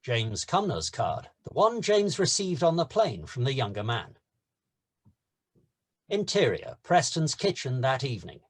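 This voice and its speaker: Husky, animated male screenplay narrator. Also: medium pitch, measured pace. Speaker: narrator reading stage directions. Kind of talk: scripted narration at a table read.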